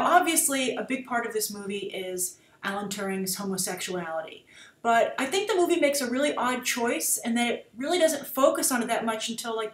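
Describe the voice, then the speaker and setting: A woman's voice: fairly high pitch, echoey room. A young woman giving an off-the-cuff film review to a webcam in a small room. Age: young adult. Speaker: web show host, film reviewer. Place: small plain room indoors.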